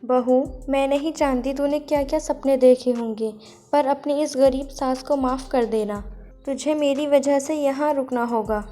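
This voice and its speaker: A woman's voice: sits high, steady tone, normal speed, mellow, soft background music, close to an everyday voice. A woman voicing a poor, long-suffering village mother-in-law in a cartoon moral story, plaintive and motherly. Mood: apologetic.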